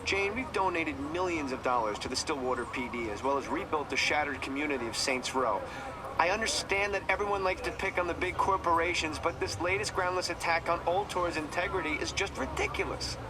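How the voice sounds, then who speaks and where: An actor playing a smug, cold, condescending male executive in a video game, mock-polite and measured. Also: on the high side, background noise, natural voice. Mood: polished, indignant.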